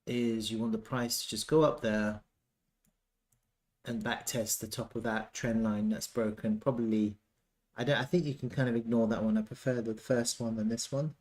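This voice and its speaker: A man with a mid-range voice talks through analysis in an unscripted, casual way. He works out his thoughts as he speaks, often halting and circling back over his own words, like an analyst commenting live on a chart. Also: medium pitch, a little mellow. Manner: thinking aloud, halting, repeats himself.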